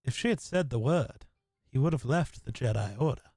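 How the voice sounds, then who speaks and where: A man co-hosting a casual podcast, an excitable fan who swears casually. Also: medium pitch, normal speed, slightly rough, dry recording, studio quality. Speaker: podcast co-host. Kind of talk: casual chat.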